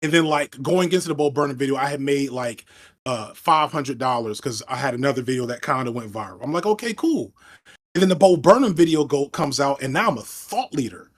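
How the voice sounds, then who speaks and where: A man in his thirties, a former teacher, talking over a headset on a video call in a reflective, fast, self-interrupting way. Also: medium pitch, animated. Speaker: guest, video essayist. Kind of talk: casual video call chat. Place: room at home indoors.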